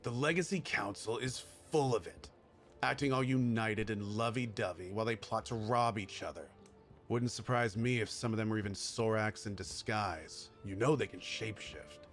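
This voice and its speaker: An actor playing a jaded veteran scavenger in a video game, cynical, blunt and grumbling. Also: male voice, fairly low pitch, normal speed, soft background music, lightly acted. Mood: bitter, grumbling. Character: sour, jaded veteran badlands scavenger, gruff older man. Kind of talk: video game cutscene acting.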